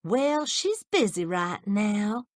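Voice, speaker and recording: A woman voicing a bold, forthright lady who speaks confidently and gently. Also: mid-range voice, very animated, no room sound, studio quality, voice pushed up. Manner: brisk, confident, gentle with the old.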